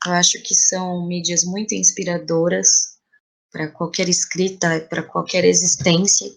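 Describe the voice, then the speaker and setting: A woman's voice: on the low side, level tone, measured pace, vocal fry. Brazilian woman in casual podcast conversation. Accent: Brazilian Portuguese. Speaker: interview guest, playwright.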